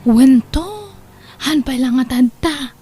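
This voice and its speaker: Woman acting a high-voiced flirty Ilocano sweetheart. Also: lively intonation, slow, mellow, slightly rough, faint music behind, full character voice. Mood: sweet, casual. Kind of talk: amateur comedy audio drama acting.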